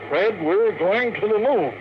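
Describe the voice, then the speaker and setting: An actor playing a driven, stubborn older tycoon in a 1950s radio drama, speaking in an emphatic, commanding way. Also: male voice, high-pitched, lively intonation, unhurried. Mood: insistent. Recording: noisy, tinny 1950s radio recording.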